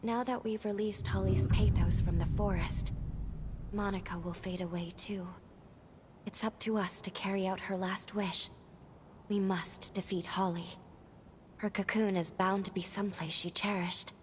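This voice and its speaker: An actress playing a resolute, perceptive companion in a dramatic video game, with a husky, hushed and serious delivery. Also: female voice, medium pitch, steady tone, normal speed, a little hiss or hum, lightly acted. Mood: resolute, grave. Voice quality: very breathy, husky. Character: resolute, perceptive female companion in post-apocalyptic adventure.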